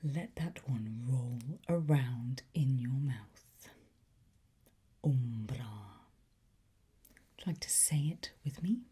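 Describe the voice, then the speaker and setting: A woman doing an ASMR teacher roleplay in a breathy whisper, giving slow, deliberate, coaxing instruction with pauses. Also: extremely low pitch, warm tone. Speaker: ASMR performer playing a language teacher.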